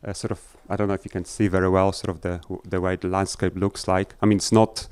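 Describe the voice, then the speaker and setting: Middle-aged male researcher, lowish smooth voice, halting public talk with fillers and restarts, dry close sound. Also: level tone, darkish tone, no echo. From Poland. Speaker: agricultural researcher. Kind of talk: talk to an audience. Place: festival tent.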